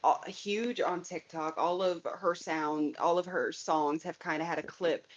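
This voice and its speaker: A woman's voice: on the low side, fairly even intonation, a little slow. A woman co-hosting a casual podcast, telling everyday stories plainly and quoting other people in them. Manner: plain, storytelling with quoted voices. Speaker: podcast co-host. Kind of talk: casual chat. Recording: dull lo-fi mic.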